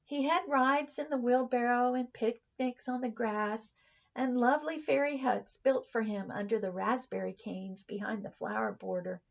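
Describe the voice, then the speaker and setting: A woman reading a children's story aloud like a teacher, expressively, with dramatic pauses and drawn-out words. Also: a little high, fairly even intonation, creaky, muffled recording.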